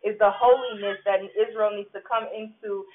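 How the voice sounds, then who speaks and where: A preacher giving a sermon, pausing often with fillers and restarts and checking in with "right?". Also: mid-range voice, fairly even intonation, slight background noise. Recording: tinny phone-like recording with faint music.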